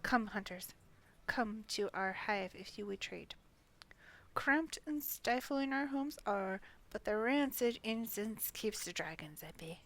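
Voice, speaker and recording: A woman's voice: medium pitch, animated, slow pace, fairly bright tone. A woman voicing eager insect-like hive creatures who trade in a fantasy game, with quaint, formal phrasing. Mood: eager, inviting.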